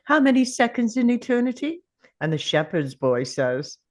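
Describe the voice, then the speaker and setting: A woman's voice: on the high side, big pitch swings, moderate pace. A woman playing an ancient male time traveller, weary and defiant, at a table read of a drama script.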